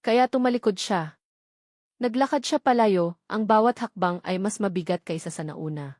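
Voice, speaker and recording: A woman's voice: medium pitch, normal speed, a little mellow, no echo, studio quality. A woman reading a scripted romance story as a dramatic audiobook narrator.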